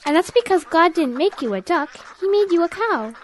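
A gentle, kindly lady duck, voiced by a woman in a children's audio drama. The high voice explains things patiently, with background noise behind it. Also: big pitch swings, brisk. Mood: gently sympathetic.

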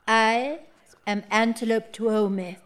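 A woman's voice: fairly high pitch, fairly even intonation. A woman doing a solemn eccentric poet. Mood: reciting. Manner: insistent, solemn, slow dramatic recital. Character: pushy eccentric woman poet running a feed store.

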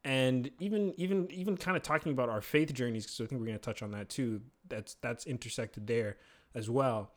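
A young man in his twenties talks easily about his family's past on a podcast. He laughs often and tells his stories in an animated way, his pitch sitting in the middle. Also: a little fast. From born in Zambia, family from Congo. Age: 20s. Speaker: podcast co-host. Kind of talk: casual chat.

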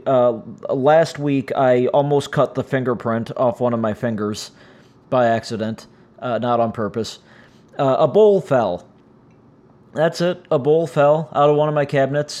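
A man with a raspy, strained voice from injured vocal cords, hosting his own podcast in a rambling, casual way full of fillers. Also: medium pitch, a little mellow. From United States. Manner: rambling, frequent "uh" fillers, self-deprecating. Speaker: podcast host. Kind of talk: casual solo podcast chat. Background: cats making noise.

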